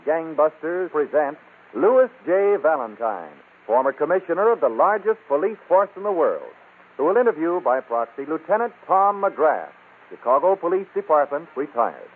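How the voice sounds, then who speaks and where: Casting: male announcer, formal, higher voice with big swings, tinny old radio broadcast. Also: very animated, moderate pace. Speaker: programme announcer.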